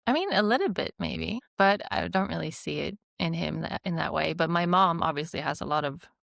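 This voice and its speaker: Gravelly low Swedish woman guest. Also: sing-song, brisk, fairly bright tone, no echo, clean studio sound. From Sweden. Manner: reflective, rambling with fillers. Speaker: podcast guest. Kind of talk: casual interview chat.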